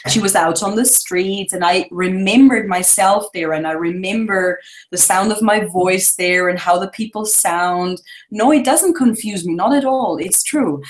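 A woman's voice: mid-range voice, measured pace. Enthusiastic woman on a call. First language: German. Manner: enthusiastic, talkative, laughs often. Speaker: travel blogger guest. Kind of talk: casual interview.

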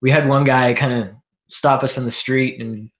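A man from Newfoundland speaks as a guest in a casual interview, with an ordinary mid-pitched voice. He talks haltingly, restarting and repeating words. The recording is dull and lo-fi. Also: fairly even intonation, fairly bright tone. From Newfoundland, Canada.

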